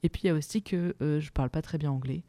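Woman musician, halting interview talk with a warm gravelly edge. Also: low voice, no room sound. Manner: hesitant, many fillers and restarts, laughs often. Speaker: musician and playwright. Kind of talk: casual interview answers.

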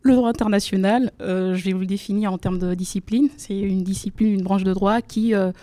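A woman's voice: medium pitch, steady tone, a little fast, mellow, dry recording. A young woman from the Democratic Republic of the Congo, a law student guest on a radio interview, speaking formally with many hesitations. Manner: hesitant, many fillers, careful formal phrasing. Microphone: studio microphone.

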